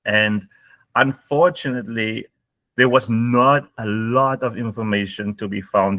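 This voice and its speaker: A man's voice: mid-range voice, sharp tone, dry recording. A man from Cape Town with a South African accent, leading a panel and speaking earnestly about his own heritage. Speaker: panel leader. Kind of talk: reflective personal talk on a panel.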